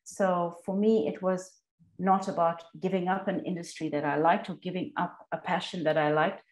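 A woman from South Africa giving reflective interview answers as a lecturer, thoughtful and halting as she rephrases her points. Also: fairly low pitch, flat delivery, low-quality recording. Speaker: university lecturer, podcast guest.

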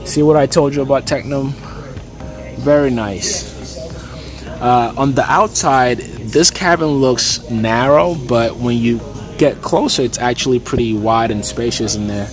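A man's voice: mid-range voice, measured pace. Chatty man touring a plane. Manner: relaxed, chatty, lots of "okay" and "uh". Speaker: aviation vlogger. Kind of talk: casual walkaround tour. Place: large aircraft hangar at an expo, indoors. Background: music and crowd chatter.

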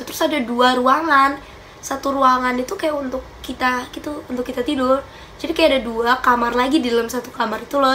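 A woman's voice: high-pitched, slight background noise. Chatty young woman with a stuffy nose. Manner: chatty, giggly, animated hand gestures. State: slightly stuffy nose from a cold. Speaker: vlogger. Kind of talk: casual Q&A chat. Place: small bedroom indoors.

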